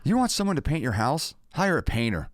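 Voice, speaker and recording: An actor playing a proud, middle-aged ranch hand in an audio drama, plainspoken, terse and folksy. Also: male voice, a little high, very animated, a little fast, a little hiss or hum. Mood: defiant, angry. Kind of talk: naturalistic full-cast audio drama acting.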